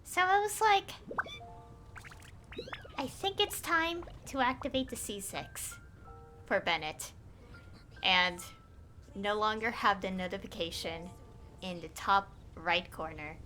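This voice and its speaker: A woman's voice: mid-range voice, lively intonation, slow pace, pauses often, bright, forceful tone, soft background music. A woman chatting casually on a gameplay livestream, rambling and hesitant as she thinks aloud. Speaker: game streamer.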